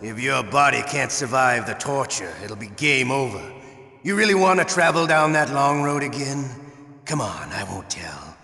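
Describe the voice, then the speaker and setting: An actor playing a sadistic, theatrical older torturer in a video game, with a menacing, taunting, drawn-out delivery and a gravelly voice. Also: male voice, medium pitch, animated, sharp tone. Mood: mocking menace. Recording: echoey hall with long reverb.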